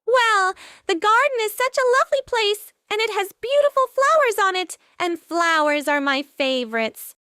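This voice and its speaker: A woman's voice: very high-pitched, big pitch swings, moderate pace, hardly any pauses, sharp tone, no echo, clean studio sound, heavily acted. A woman voicing a cheerful, friendly character in a home-made storybook play, sweet and chatty.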